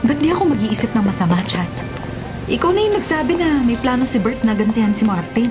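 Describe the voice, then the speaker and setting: A woman's voice: fairly high pitch, animated, fast, music in the background, close to an everyday voice. An actress playing a defiant, vengeful woman in a radio drama, combative and emphatic. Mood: angry, defiant.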